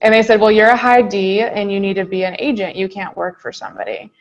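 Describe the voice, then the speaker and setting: Female interview guest, brisk and chatty, mid pitch, dull lo-fi recording. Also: gravelly. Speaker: guest real estate agent. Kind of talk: podcast interview.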